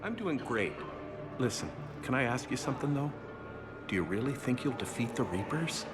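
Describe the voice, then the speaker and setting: An actor playing an awkward, eager human fan in a video game cutscene, talking in a nervous, rambling way. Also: male voice, medium pitch, animated, moderate pace, music in the background, lightly acted. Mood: earnest.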